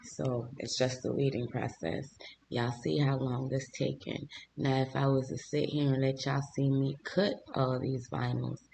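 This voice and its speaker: Chatty, hoarse man hosting a craft vlog, gravelly edge, talking straight to viewers over a faint hiss. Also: medium pitch, level tone, a little slow, a little mellow, low-quality recording. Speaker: small business owner and channel host.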